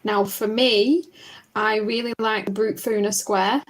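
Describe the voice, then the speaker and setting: A woman's voice: mid-range voice, fairly even intonation, unhurried, noisy background. A woman co-hosting a live coloring stream, chatting in a friendly, easygoing way. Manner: friendly, easygoing, reads viewer names aloud. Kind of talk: casual live-stream chat.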